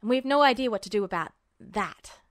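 A woman's voice: fairly high pitch, lively intonation, brisk, fairly bright tone, dry recording, studio quality. A woman voicing a matter-of-fact male town police officer with plain, clipped speech, in a story reading.

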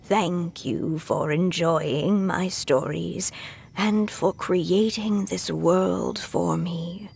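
An actress playing a fond, aging woman storyteller in a video game, reading a farewell letter in a gentle, reflective way. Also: on the low side, steady tone, vocal fry, slight background noise, full character voice. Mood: tender farewell. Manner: slow, reflective, tender.

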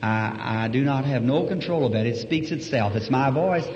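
A man preaching a sermon in a church, humble and folksy, soft-spoken, with a slightly hoarse voice. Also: mid-range voice, normal speed. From United States. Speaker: evangelist preacher. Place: church auditorium. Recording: old echoey hall recording, dull and hissy.

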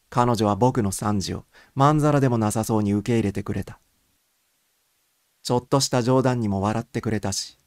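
A man's voice: medium pitch, a little mellow, no echo, studio quality. A man reading scripted first-person mystery fiction as an audiobook narrator.

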